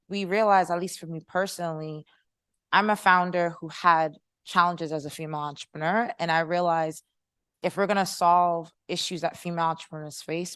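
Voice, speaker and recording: A woman's voice: on the low side, fairly bright tone, clear, resonant tone, no echo, clean studio sound. Passionate American woman on a panel. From Haitian American. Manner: passionate, persuasive, audience-engaging. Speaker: panelist, startup founder. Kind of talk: panel discussion answer. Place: conference room with audience.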